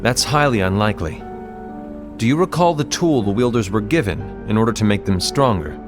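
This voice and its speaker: An actor playing a grave, suspicious leader of a fantasy order in a game, speaking solemnly and formally with deliberate phrasing. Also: male voice, fairly low pitch, animated, over music, close to an everyday voice. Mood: stern. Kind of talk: scripted game cinematic acting.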